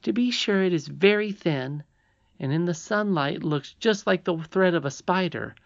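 High-pitched little princess acted out by a storybook reader, confident, insistent, earnest, muffled lo-fi recording. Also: animated, moderate pace, creaky.

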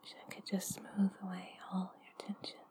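A woman talking in an intimate, playful ASMR style close to the mic, soft and breathy, often slipping toward a whisper. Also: mid-range voice, completely flat delivery, normal speed. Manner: giggly, playful, chatty. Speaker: audio performer addressing the listener. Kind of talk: intimate ASMR, rambling and affectionate.

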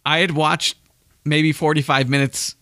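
A man's voice: on the high side, steady tone, unhurried, bright, forceful tone, no room sound, studio quality. A man from the Boston area, co-hosting a podcast in casual chat, hesitant and restarting often as he explains his opinions. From Massachusetts, outskirts of Boston. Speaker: podcast co-host.

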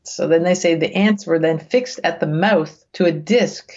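A woman reading research aloud as a guest, with casual, bemused asides, thinking out loud in a conversational way. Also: fairly low pitch, unhurried, lo-fi sound. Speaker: guest reader reacting to research papers.

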